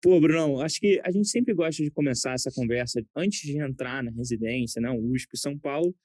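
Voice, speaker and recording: Male podcast host, friendly interviewer, mellow warm timbre, dry studio sound. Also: mid-range voice, expressive, fairly quick, dry recording. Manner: friendly, conversational questioning. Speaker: podcast host, general surgeon.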